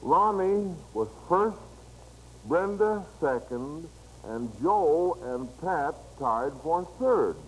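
A man's voice: on the high side, lively intonation, drawn-out words, a little hiss or hum, low-quality recording. An American man hosting an old-time radio quiz show in a folksy, encouraging manner, on a vintage broadcast recording. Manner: folksy, encouraging toward child contestants.

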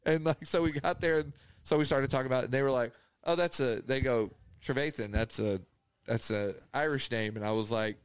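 A man's voice: mid-range voice, brisk, smooth, dry recording. A man, co-hosting a podcast, telling a rambling story, laughing often and acting out the people he quotes. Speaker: podcast co-host. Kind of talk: casual storytelling chat.

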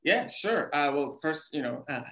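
A young man from Israel with an Israeli accent, answering interview questions in halting casual talk full of fillers. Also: a little high, lively intonation, a bit bright, low-quality recording. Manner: halting, many "uh" fillers and repeated words. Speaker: podcast guest, coffee founder.

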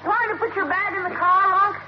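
A man's voice: very high-pitched, steady tone, a little fast. An actor playing a cheeky, wisecracking young boy in an old radio comedy, flippant and slangy. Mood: breezy, teasing. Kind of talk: 1950s radio comedy acting. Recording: very noisy, muffled old radio recording.

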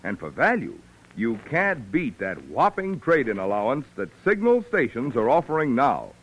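A man's voice: mid-range voice, sing-song, normal speed, a bit bright, a little hiss or hum, low-quality recording. A man reading a sponsor message as an old-time radio announcer, emphatic and polished. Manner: emphatic, polished sales delivery. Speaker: commercial announcer. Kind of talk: 1940s-50s radio sponsor read.

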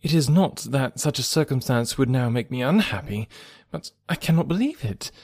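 A man voicing a lively, eager young gentlewoman with emphatic, genteel speech in an audiobook. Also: medium pitch, normal speed, no room sound. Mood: incredulous. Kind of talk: audiobook character dialogue.

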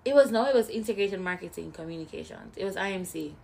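A woman's voice: lowish voice, quick pace, gravelly, crowd noise. A woman, co-hosting a podcast in casual chat and telling stories playfully. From Jamaica. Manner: animated storyteller, playful. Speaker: podcast co-host.

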